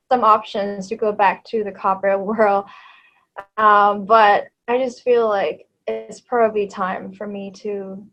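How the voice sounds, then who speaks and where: A woman in her thirties from Thailand, a native Thai speaker, talking as an interview guest in a hesitant way, with many fillers and soft laughs. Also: medium pitch, lo-fi sound. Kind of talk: casual interview chat.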